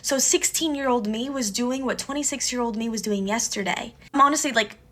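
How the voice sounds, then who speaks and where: A woman's voice: fairly high pitch, fairly bright tone. A woman in her twenties, Portuguese native speaker, talking earnestly and emotionally about her life in a personal video. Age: 20s. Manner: earnest, emotional, talks fast. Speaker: recent graduate. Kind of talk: personal social media video.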